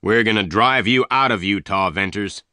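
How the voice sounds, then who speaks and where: A man's voice: on the low side, moderate pace, pushed and bright, no echo, studio quality. Man doing an angry, threatening gang leader. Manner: harsh, threatening.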